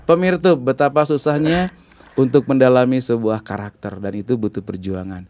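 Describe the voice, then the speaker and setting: A man hosts a lively chat-show interview, speaking. He keeps things playful and often jumps up sharply in pitch when something surprises him. His voice sits at a middle pitch. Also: normal speed, slight background noise. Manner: playful, exclaims with sudden high jumps in pitch. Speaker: interviewer host. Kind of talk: chatty talk-show interview.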